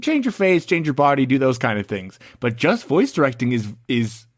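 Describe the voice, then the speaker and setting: A man's voice: medium pitch, big pitch swings, moderate pace, no room sound. Casting note: young male podcast host, chatty and joking, dry close sound. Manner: chatty, laughs often, jokey asides. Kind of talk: casual chat.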